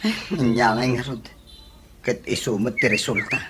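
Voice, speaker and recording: An actor playing a worried, defeated husband in an Ilocano radio comedy drama. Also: male voice, medium pitch, sing-song, moderate pace, very noisy, natural voice. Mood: dejected. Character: worried, defeated husband and election candidate, deep in debt. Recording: radio drama with prominent music behind.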